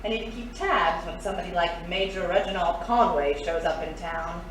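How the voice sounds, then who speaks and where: An actress playing a sly, teasing, knowing femme fatale in a noir radio drama, coy and needling. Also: female voice, lowish voice, normal speed, fairly bright tone, lightly acted. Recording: very echoey, distant, faint noise.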